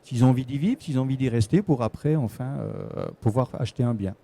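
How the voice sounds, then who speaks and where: A man's voice: medium pitch, lively intonation, fairly quick, vocal fry, mellow. A man with a Swiss French accent explaining things as a guest expert in a live interview, often repeating words as he searches for the next. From Switzerland. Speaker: guest expert on relocating to Portugal.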